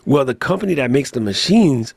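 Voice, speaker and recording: A man on a tech podcast panel, telling a story in an animated, slangy way. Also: medium pitch, a little fast, vocal fry, slightly rough, no room sound. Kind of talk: casual chat.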